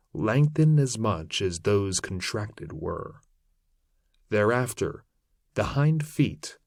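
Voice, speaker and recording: A man reading classic epic verse aloud as a narrator, in a measured, declamatory manner. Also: on the low side, a little mellow, dry recording, studio quality. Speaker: reader of classic poetry. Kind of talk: literary poetry narration, read aloud.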